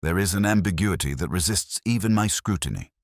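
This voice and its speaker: A man voicing a cool, brooding detective in an audiobook, musing in measured phrases. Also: deep voice, expressive, dry recording, studio quality. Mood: pensive. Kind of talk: audiobook detective story dialogue.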